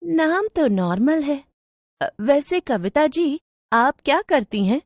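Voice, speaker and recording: Cartoon housewife played by a woman: nosy, excitable gossip, pitched up, dry studio sound. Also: slow pace, dry recording, full character voice. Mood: eager, prying. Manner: eager, prying, chatty. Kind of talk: Hindi cartoon storytelling.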